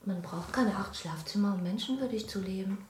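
An actress playing a well-born young newlywed wife in a German radio drama, questioning, firm and reproachful. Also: female voice, mid-range voice, brisk, a little mellow, close to an everyday voice. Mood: baffled, indignant. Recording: echoey room with clear background noise.

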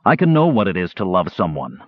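A man's voice: low-pitched, wildly swooping pitch, brisk, dry recording, highs cut off, exaggerated voice. A man voicing a big, blunt, protective warrior brother, plain and earnest, in a fantasy audiobook. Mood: defensive.

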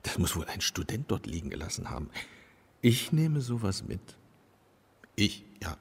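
Eccentric self-important guard, radio drama: halting, doom-minded, wildly varied pitch, big echoing space. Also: male voice, sing-song, gravelly, husky, full character voice. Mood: rambling, insistent. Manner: halting, rambling, pompous. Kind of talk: German radio play acting. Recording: long reverb of a large hall.

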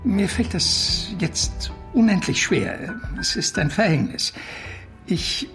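An actor playing a cultured, anguished witness from the art world in a German radio drama, speaking in deliberate, formal phrases and justifying himself. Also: male voice, sits high, sing-song, over music, exaggerated voice. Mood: anguished confessing.

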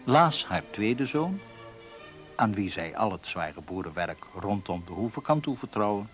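A man with a fairly low voice narrates a radio play as a storyteller. His delivery is very slow and expressive, set over music. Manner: storytelling, deliberate phrasing. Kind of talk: scripted radio drama narration.

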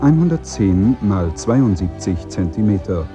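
A man's voice: on the low side, big pitch swings, a little slow, music in the background. An actor playing a dry, pedantic man reading dictionary definitions in a radio play, formal and matter-of-fact. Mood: dry, factual. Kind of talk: radio play, recited encyclopedia entries.

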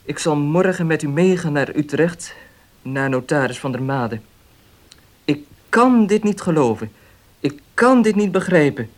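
An actor playing an agitated, disbelieving young nephew in a radio drama, with a stammering, questioning delivery. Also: male voice, a little high, lively intonation, a little slow. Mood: shaken, agitated.

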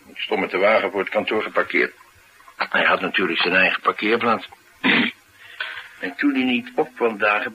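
An actor playing a streetwise, talkative driver, confiding and musing in a colloquial radio drama style. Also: male voice, fairly low pitch, bright, forceful tone. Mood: brooding, puzzled. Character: streetwise, talkative male driver, wry and brooding. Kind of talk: naturalistic radio drama acting. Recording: noisy radio drama recording.

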